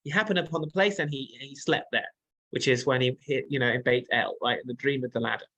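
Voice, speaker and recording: A man's voice: medium pitch, quick pace, bright, forceful tone. A man teaching a text-based Torah class. He reads Hebrew passages aloud, translates them, and explains them as he thinks aloud. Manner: thinking aloud, with frequent fillers and restarts.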